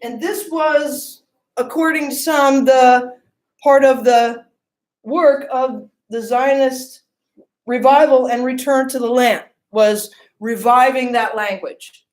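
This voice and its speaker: A woman's voice: high voice, steady tone, slow pace, roomy sound. A woman giving a classroom lecture, often asking the class questions and checking in with "okay?" and "right?".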